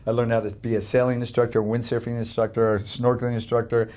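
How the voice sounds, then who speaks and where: Middle-aged man from Canada, upbeat interview guest, rambling talk with fillers, mid-pitched voice. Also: flat delivery, quick pace, darkish tone. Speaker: guest, cafe owner. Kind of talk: casual storytelling interview.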